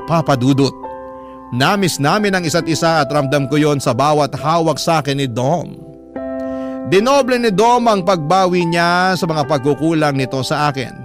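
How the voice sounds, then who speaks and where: A man with a Filipino accent reads a personal story in scripted radio-drama narration. His voice sits a little high for a man, and music plays clearly behind him. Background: background music.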